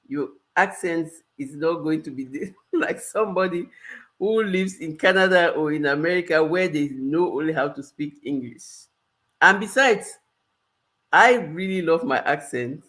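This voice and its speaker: A woman's voice: on the low side, a bit bright. A Cameroonian-accented woman coaching her viewers in a live stream. She speaks earnestly, circling back and repeating her key phrases for emphasis. Her tone is that of a life coach giving advice.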